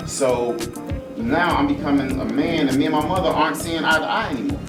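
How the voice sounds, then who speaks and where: A man's voice: on the high side, fairly quick. A man speaking earnestly and emphatically in a panel discussion, repeating phrases as he gives personal testimony. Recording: echoey, distant mic, loud music.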